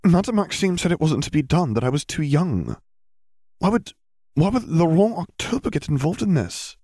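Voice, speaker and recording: A man's voice: a little high, big pitch swings, normal speed, warm tone, no room sound, clean studio sound, pitched above the performer's own voice. A man voicing an earnest, ambitious and clever schoolgirl witch with a questioning manner. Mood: puzzled.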